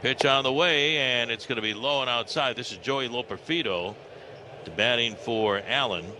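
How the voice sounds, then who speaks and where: A man giving live radio baseball commentary in an animated, folksy, conversational way. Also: mid-range voice, moderate pace. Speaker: baseball play-by-play announcer. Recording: noisy live ballpark radio broadcast. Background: outdoor stadium ambience.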